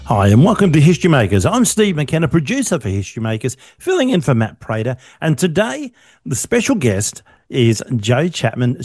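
A man's voice: on the high side, darkish tone, no room sound. An Australian man hosting a studio interview, chatty and friendly as he asks questions. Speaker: host.

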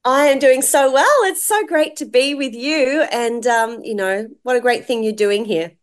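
A woman in her fifties with an Australian accent, a guest telling her life story warmly and earnestly. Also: fairly high pitch, moderate pace, clean tone. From Melbourne, Australia. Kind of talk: interview, personal story.

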